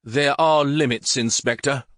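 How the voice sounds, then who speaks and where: A man's voice: medium pitch, fairly even intonation, a little slow, fairly bright tone, no echo, clean studio sound, voice pushed up, lightly acted. A man voicing an impatient middle-aged lawyer who speaks formally and grows heated easily. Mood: indignant.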